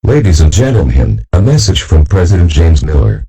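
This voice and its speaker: A man playing a solemn broadcast announcer with a gravelly voice, speaking in a formal, portentous way. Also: a bass voice, sing-song, moderate pace, dark tone, full character voice, rough recording.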